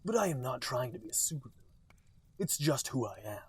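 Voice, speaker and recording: A man voicing a dark, misunderstood cartoon hedgehog loner in a hushed, breathy, brooding way for a comic fan fiction reading. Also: mid-range voice, big pitch swings, brisk, lightly acted. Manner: brooding, secretive.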